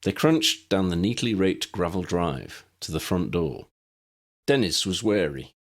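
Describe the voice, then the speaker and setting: Low-voiced man narrating with lively intonation. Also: measured pace. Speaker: audiobook narrator. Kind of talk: scripted story narration.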